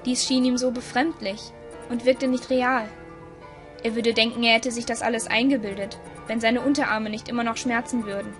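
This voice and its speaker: Higher-voiced woman narrating a story. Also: steady tone, normal speed, soft background music.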